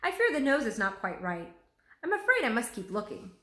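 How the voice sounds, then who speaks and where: A woman performs an eager male artist from a children's storybook, lecturing with lively swings in pitch. The tone is bright and full of exclamation. The room adds a noticeable echo around the voice. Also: a little fast, exaggerated voice. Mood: thoughtful. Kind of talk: children's storybook read-aloud. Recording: roomy, echoing room sound.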